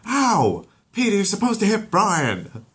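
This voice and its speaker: A man's voice: sits high, huge pitch swings, measured pace, voice pushed up, exaggerated voice. A man voicing an exasperated, indignant cartoon housewife and mother in a story. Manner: indignant, shouting.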